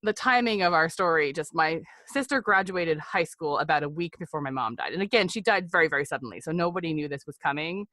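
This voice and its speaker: A woman's voice: low voice, animated, fast talker, hardly any pauses, pushed and bright, no echo. An American woman in her thirties telling personal stories as a podcast guest, in a candid, chatty conversational way. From Seattle area, United States. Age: 30s. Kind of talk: personal storytelling in a conversational interview.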